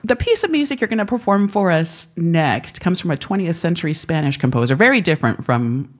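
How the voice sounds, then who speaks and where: Lively-voiced woman radio host. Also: on the low side, fairly quick. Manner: friendly, curious, laughs often. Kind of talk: on-air radio interview. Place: radio performance hall studio.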